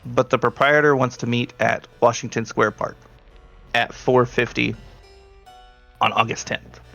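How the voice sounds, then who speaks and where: A man's voice: mid-range voice, steady tone, unhurried, gravelly, a bit bright, faint music behind. A man running a tabletop roleplaying game as game master, narrating casually and putting questions to players.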